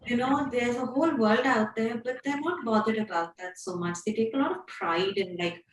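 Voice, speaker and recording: Lady chatting warmly, laughing at herself, mic far off in an echoey room. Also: fairly high pitch, animated, moderate pace. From Pakistan. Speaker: panel guest. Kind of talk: casual panel chat. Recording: roomy, distant mic with faint noise.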